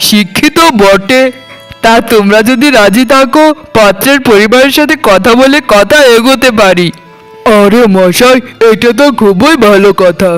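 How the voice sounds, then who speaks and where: A man's voice: sits high, fairly bright tone, clean tone, low-quality recording. A man voicing a genial, chatty villager in a cartoon, polite and neighbourly, with music behind him. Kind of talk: cartoon voice acting.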